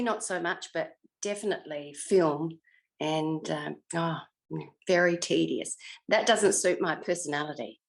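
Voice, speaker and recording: Older lady, lowish voice, chatting about her old acting days, gushes a bit, pauses to remember stuff. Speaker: interview guest, former TV actress. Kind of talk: reminiscing interview chat.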